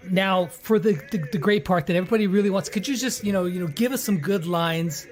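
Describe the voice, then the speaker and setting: High-voiced man hosting a casual chat. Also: fast. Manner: enthusiastic, slangy. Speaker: host interviewing game voice actors.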